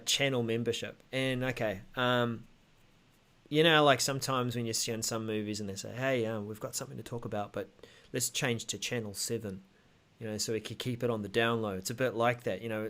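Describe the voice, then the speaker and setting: A man's voice: mid-range voice, a bit bright. A man co-hosting a casual tech show, explaining things tentatively as he thinks aloud.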